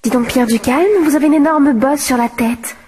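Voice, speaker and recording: A woman's voice: high voice, normal speed, darkish tone, slight background noise, natural voice. A woman playing a soothing, smitten teenage girl from the fifties in an amateur film dub. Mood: coy, smitten.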